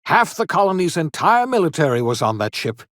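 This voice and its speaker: An actor playing an eccentric elderly scientist and rebel in a video game, earnest and theatrical, with formal, old-fashioned, emphatic phrasing. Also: male voice, a little high, very animated, brisk, a bit bright, dry recording, studio quality. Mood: grave, worried. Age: older. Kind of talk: video game character dialogue.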